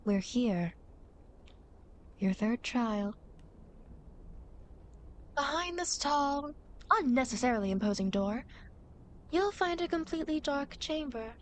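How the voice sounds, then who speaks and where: Demon girl guide for ASMR roleplay, played by a woman: high voice, smug, playful, theatrical teasing, some ambient noise behind. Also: lively intonation, takes it slowly, pauses often. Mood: smug, explanatory. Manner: theatrical, teasing, mock-grand phrasing. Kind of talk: ASMR roleplay, acted monologue to the listener.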